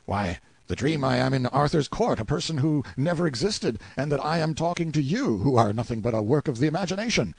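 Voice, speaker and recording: A man's voice: medium pitch, lively intonation, fairly quick, creaky, few pauses, a little mellow, slightly rough, no room sound, pitched above the performer's own voice. A man playing a brash, sardonic, boastful hero in an audiobook, with emphatic, exclamatory delivery. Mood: resigned. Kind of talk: audiobook character acting.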